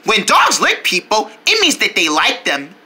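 Casting: sweet, excitable kindergarten cartoon character, bright and very animated, talks straight to little viewers. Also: female voice, mid-range voice, moderate pace, heavily acted. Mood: caring, gentle. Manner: exclamatory, sing-song, talking to young viewers. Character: excitable, sweet young kindergartner, friendly kids' show character. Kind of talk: children's cartoon voice acting.

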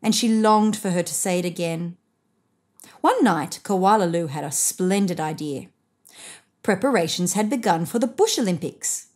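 A woman in her thirties reading a picture book aloud to children in an expressive, measured way. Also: on the low side. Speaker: storybook reader. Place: indoors, seated on a sofa.

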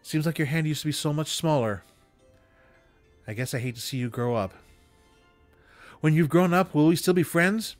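A man voicing an affectionate, excitable elderly woman from a storybook, folksy and earnest in manner. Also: a little high, moderate pace, pauses often, no room sound, soft background music, voice pushed up, close to an everyday voice. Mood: tender, wistful.

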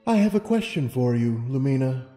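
A man voicing a wise, regal mare ruler in a storybook reading, calm, measured and gently probing. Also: mid-range voice, long reverb tail, faint music behind, close to an everyday voice. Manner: measured, stately, deliberate. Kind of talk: audiobook character reading.

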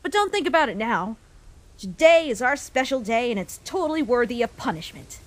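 A woman voicing a caring human child in a comic dub, a protective older sibling who speaks gently and reassuringly. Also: a little high, big pitch swings, fairly quick, no room sound. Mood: cheerful, reassuring.

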